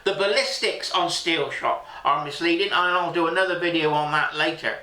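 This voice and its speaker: A man's voice: on the high side, normal speed, bright, forceful tone. A man hosting a country sports channel, making an earnest, plain-spoken campaign appeal to viewers. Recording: echoey room.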